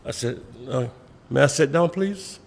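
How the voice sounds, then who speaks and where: A man, talking casually in a courtroom, halting and repeating his phrases. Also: mid-range voice, vocal fry. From South Carolina, United States. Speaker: husband appearing in court. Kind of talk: casual storytelling and short replies. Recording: echoey courtroom with long reverb.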